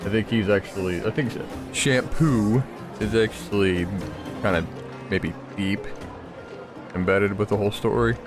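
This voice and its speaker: A man's voice: on the low side, measured pace. A man giving casual let's play commentary, musing and loosely thinking aloud as he plays. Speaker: gamer commentating on a playthrough. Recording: game music behind the voice.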